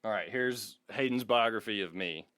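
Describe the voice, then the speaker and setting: A man's voice: fairly low pitch, moderate pace, fairly bright tone, no echo, studio quality. A man co-hosting a comedy podcast in casual, joking banter. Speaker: podcast co-host.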